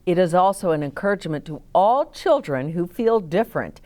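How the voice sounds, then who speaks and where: Female storytime reader for young children: lower voice, lively melody, deliberate explanatory delivery. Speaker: storytime host reading picture books aloud. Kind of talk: expressive read-aloud for children with asides to listeners.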